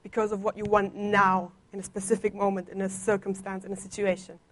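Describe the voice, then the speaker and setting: A woman's voice: mid-range voice, completely flat delivery, brisk, fairly bright tone. Young woman giving a church testimony. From Germany. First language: Italian and German. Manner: conversational, playful teasing humor. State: slight cough. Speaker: visiting guest speaker on a church placement. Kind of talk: personal testimony in a church talk.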